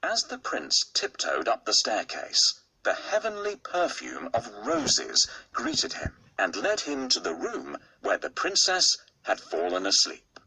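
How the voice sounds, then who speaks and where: Slow, gravelly man telling a bedtime story. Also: medium pitch, wildly swooping pitch, bright, forceful tone, slight background noise, low-quality recording. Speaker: narrator. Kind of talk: storybook read-aloud.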